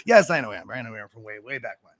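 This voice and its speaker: A man's voice: mid-range voice, sing-song, racing, a bit bright, no echo, clean studio sound. Rambling, self-mocking man. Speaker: guest. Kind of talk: casual live-stream chat. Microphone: desk mic.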